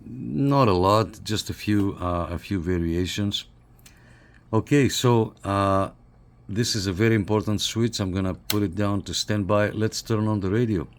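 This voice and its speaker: A man with a low, deep voice talks through a hands-on review without a script. He explains things step by step in short phrases, pausing between them. He speaks from behind the camera in a living room. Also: slight background noise. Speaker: radio reviewer. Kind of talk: unscripted product walkthrough.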